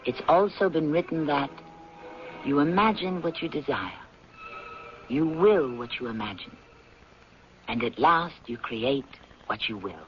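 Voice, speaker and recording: A man hosting a radio drama, reading scripted narration in a measured, philosophical manner. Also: fairly high pitch, animated, faint music behind. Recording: dull old radio broadcast recording.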